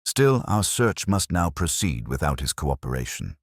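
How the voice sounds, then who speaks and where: A man voicing a coolly logical, commanding Victorian gentleman detective in an audiobook, deliberate and formal. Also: extremely low pitch, sing-song, no room sound, clean studio sound, heavily acted. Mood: shrewd, deliberate. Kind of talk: audiobook character dialogue.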